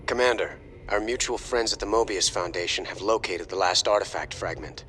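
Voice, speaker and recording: An actor playing a refined young male heir who briefs in a measured, formal way. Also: deep voice, a bit bright, a little hiss or hum.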